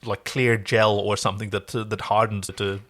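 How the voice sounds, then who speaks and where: A man's voice: on the low side, flat delivery, normal speed, fairly bright tone. A man co-hosting a casual recap podcast, talking as a wry, enthusiastic fan. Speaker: podcast co-host. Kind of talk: casual episode recap chat.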